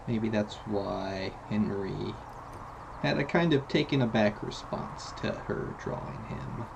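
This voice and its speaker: A man giving relaxed commentary as he plays a video game, with game sound behind him. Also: lowish voice, takes it slowly, vocal fry, dull audio, background noise. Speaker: video game let's player. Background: video game ambience.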